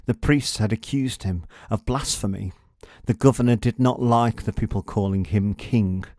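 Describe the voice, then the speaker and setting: A man reading a scripted children's story as a narrator, with dramatic, measured delivery. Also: fairly low pitch, a little mellow, no room sound, studio quality.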